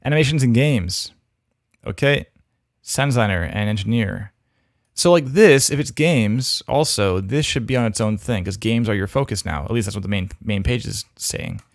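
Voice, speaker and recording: A man's voice: lowish voice, moderate pace, dry recording. A man hosting a livestream, casually thinking aloud as he gives frank critique of demo reels on a close mic. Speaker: host reviewing demo reels.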